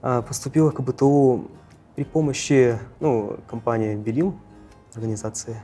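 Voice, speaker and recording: Mellow-voiced teenage male student. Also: medium pitch. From Russia, Yakutia. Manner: halting, self-correcting. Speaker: first-year university student. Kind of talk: casual interview. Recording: faint music behind the voice. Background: faint background music.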